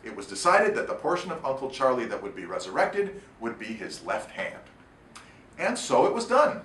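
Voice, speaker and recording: A man reading his own short story aloud in an expressive, wry and deliberate storytelling manner. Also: medium pitch. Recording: echoey room, distant mic, faint noise.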